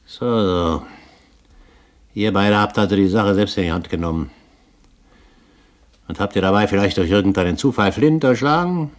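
An actor playing a shrewd older pirate captain, grand and wry in a 1970s German radio drama. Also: male voice, fairly low pitch, moderate pace, pauses often, dry recording, close to an everyday voice. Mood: wry, grumbling. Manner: grand, wry, authoritative, given to mild curses. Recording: 1970s radio play, background noise.

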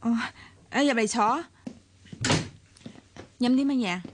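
An actress with a Hong Kong accent playing a composed, perceptive young woman in a radio drama, speaking in a measured, probing way. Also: on the high side, noisy background, close to an everyday voice. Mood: polite, questioning. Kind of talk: Cantonese radio drama acting.

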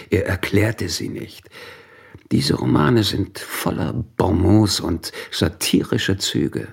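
An actor as a sober literary commentator, delivering scripted radio narration in a measured, analytical way. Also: male voice, low voice, vocal fry, slightly rough, exaggerated voice.

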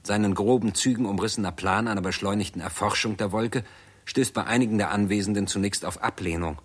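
An actor narrating a 1960s German radio play in a sober, formal, report-like manner. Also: male voice, on the low side, brisk, slightly rough. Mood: sober, factual.

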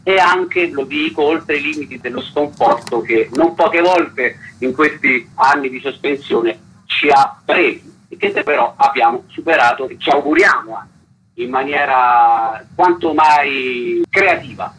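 A man's voice: mid-range voice, unhurried, a bit bright, husky, rough recording. A male festival director speaking in formal, rhetorical phrases during a radio interview over the phone.

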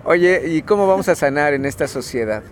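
A man hosts a radio interview. He asks his questions slowly and pauses to search for words, and his voice runs a bit high for a man. Faint noise sits under the recording. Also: expressive. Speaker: radio host.

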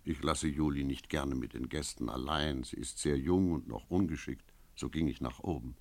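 An actor playing a brooding village innkeeper, narrating his memories in a measured, lyrical, solemn manner for a radio play. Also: very deep voice, gravelly, no echo, full character voice. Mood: watchful.

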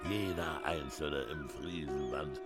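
An actor playing a fanatical, superstitious villager in a German radio play, speaking with ominous, drawn-out emphasis. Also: male voice, rumbling low, over loud music. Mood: ominous, fervent. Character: fanatical superstitious villager preaching old gods and sacrifice.

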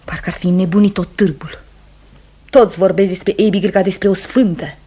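An actress playing a grave, wounded, mistrustful farmer's wife, restrained and earnest in Romanian radio theatre style. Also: female voice, fairly low pitch, fairly even intonation, dark tone, slightly rough. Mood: anxious.

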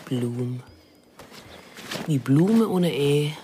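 A woman's voice: sits low, expressive, drawn-out words, mellow, slight background noise, full character voice. An actress playing a dealer's wounded, challenging girlfriend in a radio crime drama, speaking in a pointed, reproachful way. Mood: hurt, reproachful.

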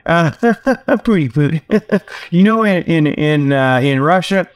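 Folksy guy w a pretty high voice, rambling comedy host doing joke sales pitches. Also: animated, takes it slowly. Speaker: host of a comedy talk show. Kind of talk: rambling comic monologue with mock sales pitches.

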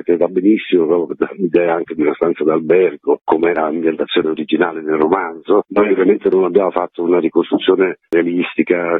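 A man's voice: low-pitched, husky, no room sound. A man, an actor being interviewed on radio, explaining in a reflective, wordy way over a phone line. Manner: reflective, wordy, with frequent qualifiers. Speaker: stage actor, interview guest.

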